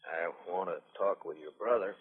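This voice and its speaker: Deep-voiced, husky frontier lawman. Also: normal speed. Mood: businesslike. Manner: hesitant, terse, stiffly polite. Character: stolid frontier lawman, reserved, awkward around women. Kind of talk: 1950s radio drama acting. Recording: muffled, reverberant old radio recording.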